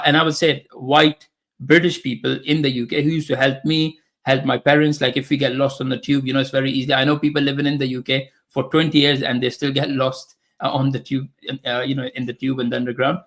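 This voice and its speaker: A man's voice: mid-range voice, gravelly, fairly bright tone. Earnest man speaking English as a third language. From Saudi Arabia. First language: Urdu. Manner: earnest, polite, with restarts and fillers. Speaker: guest. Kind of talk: casual interview.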